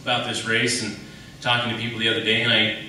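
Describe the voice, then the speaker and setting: A man with a sharp, medium-pitched voice speaks at a political debate in a heavily echoing room, some way from the mic. He is a candidate from Wyoming and sets out his points deliberately, pausing for emphasis. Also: completely flat delivery. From Wyoming, United States. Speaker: political candidate, private attorney. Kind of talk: campaign debate speech. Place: public debate in an echoey indoor room, mic at a distance. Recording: echoey room, distant mic, faint noise.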